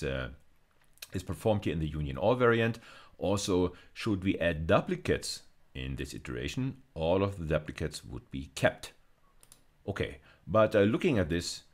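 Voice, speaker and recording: Very deep-voiced male lecturer. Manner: deliberate, step by step explaining, with frequent check-in tags. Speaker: university lecturer. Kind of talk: explanatory video lecture with live coding demo.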